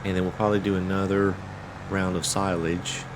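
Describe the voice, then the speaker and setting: A fairly low-voiced man narrates his farming game in a loose, rambling way, circling back with "uh" and repeated words. He sounds congested, his voice cracking now and then. Faint game ambience sits under him. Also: brisk, background noise. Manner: relaxed, rambling, with many fillers and restarts. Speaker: let's play host. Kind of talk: casual gameplay commentary.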